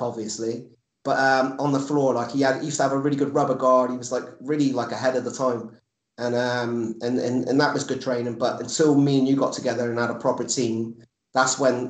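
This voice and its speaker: A man in his forties with an English accent, chatting casually as a podcast guest in a rambling, repetitive, blunt way. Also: medium pitch. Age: 40s. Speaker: podcast guest, MMA coach and fighter. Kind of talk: casual chat. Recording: echoey room.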